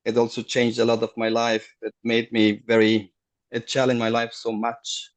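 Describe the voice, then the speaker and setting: A middle-aged man, a guest on a video call, telling his story haltingly and searching for words. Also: mid-range voice, monotone. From Afghanistan. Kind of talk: casual personal story.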